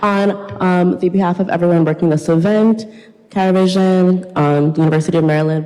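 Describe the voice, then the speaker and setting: A woman's voice: on the low side, warm tone. A young adult woman presenting an event with informal announcements from the stage. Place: university recital hall. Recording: reverberant recital hall.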